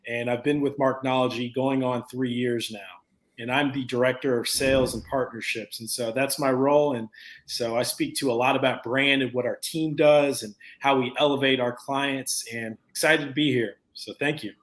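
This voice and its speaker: A man's voice: mid-range voice, fairly even intonation, normal speed, a bit bright. A man, a sales director at an agency, introducing himself in an upbeat, friendly way. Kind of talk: casual business chat.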